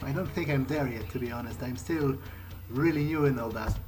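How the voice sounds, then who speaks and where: A man's voice: medium pitch, a little fast. A man improvising in-character replies as he plays a game, conversational, questioning and often hesitant. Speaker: gamer role-playing his character. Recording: game music behind the voice. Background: game music.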